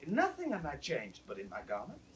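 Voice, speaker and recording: A man playing a disguised young nobleman, tender and urgent, in theatrical classical Shakespearean acting that moves between hush and exclamation. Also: on the high side, huge pitch swings, a little fast. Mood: urgent, persuasive. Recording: distant, noisy 1960s studio drama recording.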